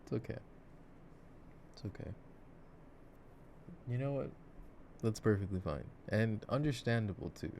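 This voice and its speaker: Low, slow, gravelly gamer boyfriend played by a man. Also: lively intonation, darkish tone. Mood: laid-back, playful. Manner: rambling, self-mocking, playfully dramatic. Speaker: ASMR voice actor. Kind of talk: improvised-sounding ASMR boyfriend roleplay while gaming. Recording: clear background noise, mouse clicks. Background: mouse clicks and game ambience.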